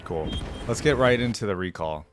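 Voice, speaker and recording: A man's voice: lowish voice, expressive, moderate pace, music in the background. A man giving casual reaction commentary in an informal, slangy gamer way. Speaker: reaction video host.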